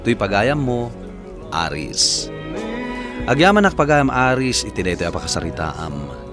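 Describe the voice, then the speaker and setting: A man's voice: fairly low pitch, sing-song, measured pace. A man with an Ilocano accent, hosting and narrating a radio drama with scripted advice. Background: music.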